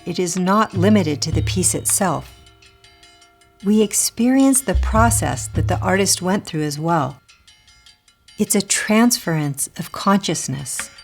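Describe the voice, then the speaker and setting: A woman reading a scripted spiritual teaching in a measured, deliberate way, pausing between short phrases. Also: lowish voice. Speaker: reader of a Buddhist teaching. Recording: music playing under the voice. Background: prominent music.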